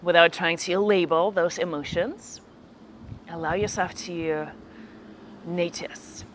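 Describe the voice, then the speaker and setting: Low-voiced woman guiding a meditation. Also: fairly even intonation, a little hiss or hum. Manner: slow, gentle instruction with long pauses. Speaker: meditation guide. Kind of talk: guided meditation.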